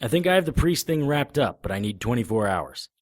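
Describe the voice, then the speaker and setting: A man playing a wry, laconic private detective in a noir audio drama, with deadpan delivery. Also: mid-range voice, a little fast, dry recording, studio quality, lightly acted. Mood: urgent, firm.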